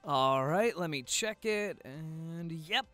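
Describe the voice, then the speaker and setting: A man's voice: on the high side, lively intonation, slow, loud music behind the voice. A man acting in an audio roleplay as an easygoing friend taking charge of the camera in a casual way. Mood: relaxed, busy.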